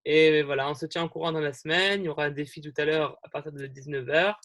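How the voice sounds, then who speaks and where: A young man leads an online group gathering, talking over a video call. His voice sits a little high and the sound is dry and close. He rambles in a friendly way, full of fillers and restarts. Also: steady tone, quick pace, fairly bright tone, no room sound. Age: young adult. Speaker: youth group leader hosting an online meeting.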